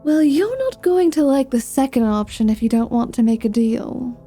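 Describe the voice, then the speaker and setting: Woman acting a sardonic vampire woman, soft and dark-toned. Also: sits high, normal speed, breathy edge, faint music behind. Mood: defiant, sardonic. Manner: sweary, teasing, impatient. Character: flirty, sardonic, wicked-smart female vampire rogue. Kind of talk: ASMR fantasy roleplay acting.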